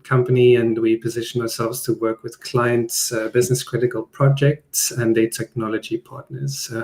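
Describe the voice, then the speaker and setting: A man with an Afrikaans walks through a live webinar. His delivery stays plain and conversational, with little rise and fall in pitch, set at a middle male pitch. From South Africa. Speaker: product designer presenting a webinar. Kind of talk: presenting a live online talk.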